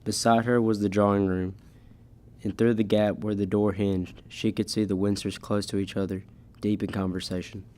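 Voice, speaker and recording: A man's voice: on the low side, little pitch movement, normal speed, warm tone, natural voice. A teenage boy reading scripted storybook narration in an amateur school radio play. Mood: measured. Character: measured storybook narrator of a gothic tale.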